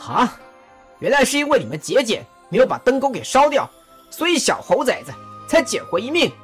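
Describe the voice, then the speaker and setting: A performer voicing a bold male army general who speaks loudly and emphatically. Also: medium pitch, sing-song, a little fast, faint music behind, lightly acted. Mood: emphatic. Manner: loud, emphatic.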